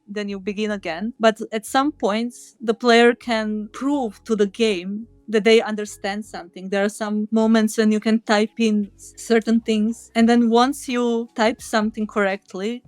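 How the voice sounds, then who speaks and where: A woman's voice: fairly high pitch, a little mellow, dry recording. A woman speaking as an indie game developer in an interview, explaining step by step in conversational language.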